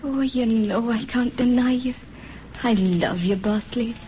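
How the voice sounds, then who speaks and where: A woman's voice: fairly high pitch, unhurried, close to an everyday voice. An actress playing a tender, anxious young Irish country girl in love, with an Irish accent and a pleading delivery, in vintage radio drama style. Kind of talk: 1930s romantic radio drama acting. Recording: muffled, hissy vintage radio recording.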